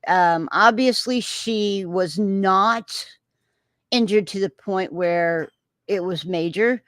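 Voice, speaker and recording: A woman's voice: mid-range voice, slow, fairly bright tone, no room sound, clean studio sound. A woman with a Texan accent hosting a casual livestream, reading out viewer comments and reacting in a rambling way with many filler sounds and restarts.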